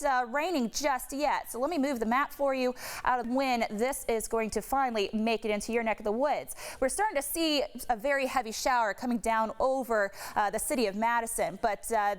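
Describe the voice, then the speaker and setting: A woman's voice: a little high, clear, resonant tone. A woman speaking as a TV meteorologist, giving an unscripted, emphatic and explanatory weather forecast. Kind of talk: ad-libbed weather forecast commentary.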